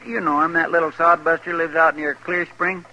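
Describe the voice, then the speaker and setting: Highish, folksy Western deputy. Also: male voice, flat delivery, moderate pace, bright, forceful tone, muffled recording. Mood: eager. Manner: folksy, deferential, plain-spoken. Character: earnest, simple, folksy marshal's assistant in the Old West. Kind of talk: 1950s radio western acting. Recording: noisy, crackly old radio recording.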